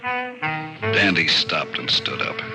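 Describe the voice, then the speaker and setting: An actor playing a grim, brooding hard-boiled narrator in an old radio thriller, with dramatic, emphatic delivery. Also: male voice, lowish voice, wildly swooping pitch, extremely slow, full character voice. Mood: heavy, bleak. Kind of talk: 1950s-60s radio thriller acting. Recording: old radio recording, loud dramatic music.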